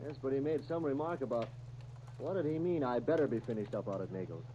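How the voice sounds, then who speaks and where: A man's voice: mid-range voice, lively intonation, quick pace, warm tone, lightly acted. An actor playing an earnest, polite, naive young small-town salesman with an American accent, hesitant and eager, in 1930s radio drama style. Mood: curious. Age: young adult. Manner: eager, puzzled, hesitant, respectful. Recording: muffled 1930s radio disc, faint noise.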